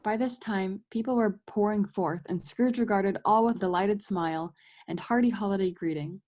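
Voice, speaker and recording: A woman's voice: mid-range voice, moderate pace, a little mellow, slight background noise, lightly acted. A woman giving scripted storybook narration as the narrator of a radio play.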